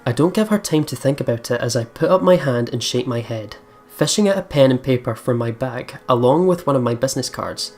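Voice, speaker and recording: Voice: medium pitch, expressive, a little fast, darkish tone, soft background music. A let's play host casually commenting and reading game text aloud, informal and prone to swearing. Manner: chatty, informal, swears freely.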